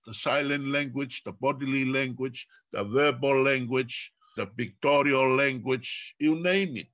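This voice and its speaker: An older man from Tonga with a Tongan accent, English not his first language, talking as a philosopher guest in a reflective, deliberate way, repeating phrases for emphasis. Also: mid-range voice, a bit bright, muffled recording. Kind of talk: reflective lecture-like talk.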